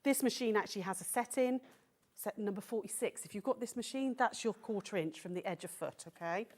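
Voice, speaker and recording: Brief: woman, mid-pitch voice, croaky from a cold, patient quilting demonstrator who keeps checking "okay?". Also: brisk, a little mellow. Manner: patient step-by-step instruction with frequent "okay?" checks. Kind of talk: sewing demonstration. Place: TV studio.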